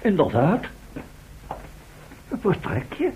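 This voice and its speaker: A man's voice: high-pitched, huge pitch swings, extremely slow, full character voice. An actor playing a flustered, thrifty male professor in a radio drama, stammering and repeating his words. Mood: eager surprise. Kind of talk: theatrical radio drama acting. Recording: noisy, crackly old radio play recording.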